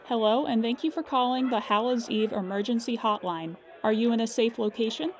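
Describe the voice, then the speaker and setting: Woman playing a calm, patient hotline operator. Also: a little high, fairly quick, some chatter behind, close to an everyday voice. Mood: calm, polite. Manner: calm customer-service politeness with dry exasperation. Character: weary, patient female emergency hotline operator. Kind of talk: audio comedy drama acting.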